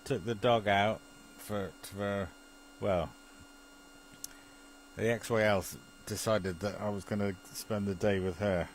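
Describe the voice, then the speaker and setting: A man's voice: lowish voice, steady tone, slow, a little hiss or hum, low-quality recording. A British man in a rambling, chuckly casual chat, often muttering to himself as he operates an amateur television link.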